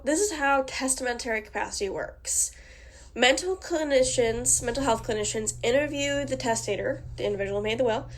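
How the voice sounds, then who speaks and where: Woman with a cold, slightly nasal. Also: on the high side. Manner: reads formal text with small slips and restarts. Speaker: student presenter. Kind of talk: reading a prepared class presentation.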